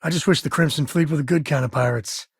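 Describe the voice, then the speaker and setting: Principled space-frontier dad, video game hero, heavily husky voice, plainspoken, wry, dry studio sound. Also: male voice, fairly high pitch, fast talker, no room sound. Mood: grim, indignant. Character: principled rugged spacefaring ex-lawman and devoted father. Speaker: video game companion character. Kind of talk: video game voice acting, naturalistic dialogue.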